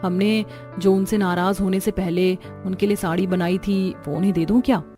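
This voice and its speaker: A woman's voice: medium pitch, steady tone, moderate pace, warm tone, soft background music. A woman voicing characters in a cartoon family story in an earnest, pleading tone. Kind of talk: cartoon dialogue acting.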